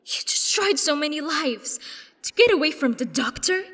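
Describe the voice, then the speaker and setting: An actress playing an indignant, resolute military agent in an audio drama, speaking in a stern, accusing way. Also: female voice, sits high, animated, normal speed, a bit bright. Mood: shocked, indignant. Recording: long hall reverb.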